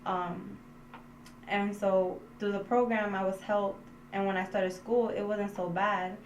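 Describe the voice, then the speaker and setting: A woman's voice: mid-range voice, level tone, measured pace. A young woman, a student, giving an informal and hesitant personal testimonial. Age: young adult. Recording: echoey room, faint background noise.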